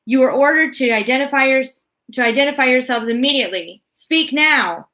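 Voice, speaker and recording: Here is a booming, commanding loudspeaker voice from mission control, as a woman reading a storybook puts it on. It is pitched well above her own reading voice, loud and barking, and it hammers out threats and orders with menace. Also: brisk.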